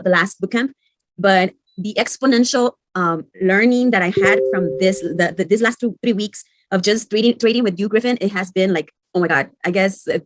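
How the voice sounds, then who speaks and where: A woman from Mexico introducing herself on a video call, halting and repeating words. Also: medium pitch, no echo, poor audio. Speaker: course participant.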